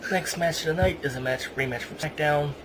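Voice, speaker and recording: A man's voice: on the high side, fairly quick, crowd noise, low-quality recording. A man hosting a podcast, giving a casual recap of a show with banter. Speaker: podcast co-host.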